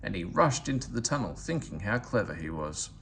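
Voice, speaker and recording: A man narrating a children's storybook aloud in an expressive, scripted storytelling style. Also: lowish voice, brisk, creaky, slight background noise. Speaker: storybook reader.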